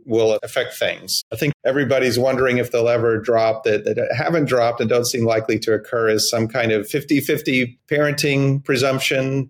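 A man hosting a podcast as a family law attorney, explaining in a relaxed way with frequent restarts. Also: medium pitch. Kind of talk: conversational podcast interview.

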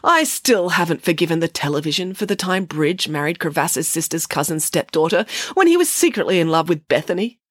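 A woman reading as a firm, no-nonsense nanny spinning a fairy tale, her lively voice swinging through big rises and falls. A soft breathy edge sits on the words. Close, dry audiobook sound. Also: lowish voice, fairly quick, no echo. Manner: firm, matter-of-fact storyteller. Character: firm, theatrical storytelling nanny. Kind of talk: audiobook character voice.

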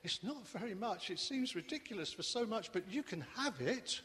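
A man's voice: high voice, expressive, moderate pace, a bit bright, pitched above the performer's own voice. A man doing an eager little boy. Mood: pleading. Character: eager excited little boy, pleading politely. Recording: echoey hall reverb.

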